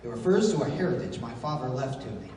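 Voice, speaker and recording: A man acting a commanding, analytical adventure hero who leads his crew, in the deliberate, authoritative style of 1930s radio drama. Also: mid-range voice, sing-song, dark tone, natural voice. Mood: grave, deliberate. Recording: echoey hall from far off, noisy.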